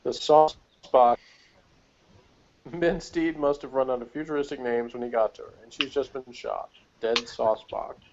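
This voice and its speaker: A man with an American accent talks through a casual review with wry, joking asides. The audio is dull and lo-fi, with clear background noise. His pitch sits in a middle range. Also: fairly even intonation, measured pace. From United States. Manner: wry, joking, often chuckling. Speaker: co-host reviewing a TV episode.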